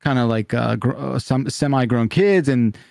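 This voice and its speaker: A man's voice: medium pitch, normal speed, creaky, a little mellow, dry recording. Man in his forties, close dry sound. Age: 40s. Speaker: podcast panelist. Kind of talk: casual explanatory chat.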